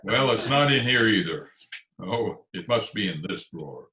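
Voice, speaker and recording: A man's voice: fairly low pitch, expressive, normal speed, background noise, lightly acted. A man playing a pompous, blustering and easily panicked school principal in old-time radio comedy style. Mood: bossy, irritated. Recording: echoey remote video-call recording.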